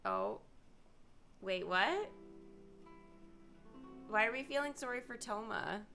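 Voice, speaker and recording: A woman streamer reacts casually to a game, in a lively voice that moves up and down a lot. She takes her time, with clear game music behind her. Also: on the high side, slow. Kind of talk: casual reaction commentary on gameplay.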